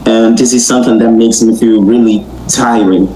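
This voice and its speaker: A man's voice: lowish voice, steady tone, moderate pace. A tired man in his forties with a Brazilian accent, chatting casually in hesitant learner's English. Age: 40s. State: sleepy, tired. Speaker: English learner in a group meeting. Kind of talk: casual self-introduction. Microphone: video call. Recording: echoey online call, faint noise.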